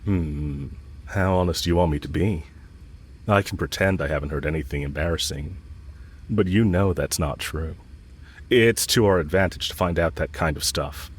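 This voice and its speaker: A man performs a smitten villain with a low voice, speaking straight to the listener as in an intimate audio drama. He is cocky and casual, teasing as he goes, and blunt about his affection. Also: moderate pace, breathy edge. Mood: fond, flirtatious. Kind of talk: romantic audio roleplay, spoken intimately to the listener.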